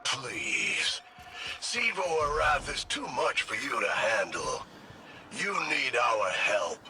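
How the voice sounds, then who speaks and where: A man's voice: medium pitch, sing-song, slow pace, heavy background noise. A man voicing a smug alien ghost henchman in a video game, sarcastic and taunting. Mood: condescending. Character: smug, sneering male alien ghost, henchman of a witch queen. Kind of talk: video game villain dialogue. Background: loud game music.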